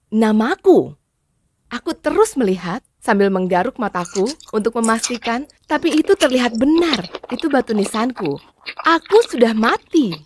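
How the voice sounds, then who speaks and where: A woman narrating a scripted first-person story in a dramatic storytelling style. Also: medium pitch. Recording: clearly noisy background with clicks.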